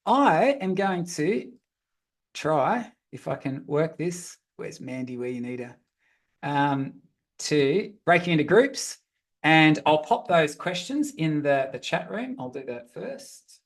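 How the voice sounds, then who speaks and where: A man's voice: a little high, expressive, slow, a bit bright. A man facilitating an online group session, informally giving instructions in a friendly, direct way and repeating himself as he thinks. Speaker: meet-up facilitator. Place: online video meeting.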